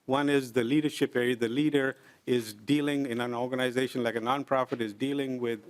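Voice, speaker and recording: A middle-aged man testifying before a legislative committee. He speaks in an earnest, deliberate way and pauses often with "uh". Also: mid-range voice, fairly even intonation, smooth. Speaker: invited presenter at a legislative committee. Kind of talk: formal testimony, explaining with examples. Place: legislative committee hearing room.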